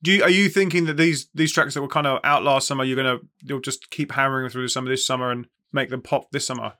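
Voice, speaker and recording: A man's voice: medium pitch, steady tone, quick pace, sharp tone. A man hosting a music interview, chatting casually with enthusiastic, slangy delivery. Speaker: music show host and interviewer.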